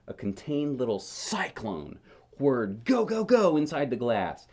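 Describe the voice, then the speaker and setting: A man's voice: fairly low pitch, a little mellow. A man reading a picture book aloud as a dad would at bedtime, expressively, with casual, wondering asides.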